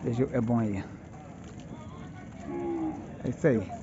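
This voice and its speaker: A man's voice: medium pitch, slow, noisy background. A man from Northeast Brazil with a Pernambuco accent, filming as he walks through outdoor cattle pens, chatting to viewers and calling out prices. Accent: Pernambuco Portuguese. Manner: chatty, calls out prices to viewers and greets sellers. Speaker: livestock market vlogger. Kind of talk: casual walk-through narration. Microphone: phone camera held while walking. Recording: dull phone audio with crowd behind. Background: crowd chatter and cattle.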